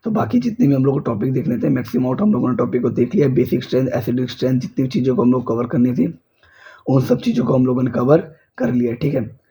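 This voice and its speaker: Hoarse male teacher. Husky, gravelly, very dark tone. Exam-prep lecture pace with constant check-ins. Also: medium pitch, lively intonation, fast talker. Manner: repeats checks like "clear?" and "okay?". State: hoarse from a cold. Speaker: chemistry teacher. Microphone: speaker's mic is faulty.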